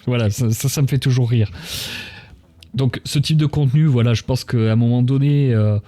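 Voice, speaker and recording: A man's voice: medium pitch, steady tone, moderate pace, mellow. A man talking casually on a livestream, giving his opinions in a blunt, emphatic, colloquial manner. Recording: music behind the voice. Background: music.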